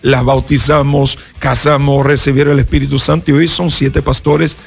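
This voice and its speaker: A man's voice: medium pitch, little pitch movement, moderate pace, creaky, slightly rough, soft background music. A Brazilian man, a pastor and native Portuguese speaker, giving an earnest personal testimony in Spanish as a guest on a radio interview. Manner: earnest storyteller, acts out voices in his story.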